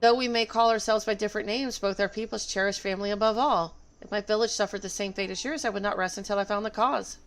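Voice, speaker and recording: A woman's voice: medium pitch, steady tone, fast, hardly any pauses, faint music behind. A woman game streamer talking casually on a livestream and reading game dialogue aloud. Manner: opinionated, emphatic.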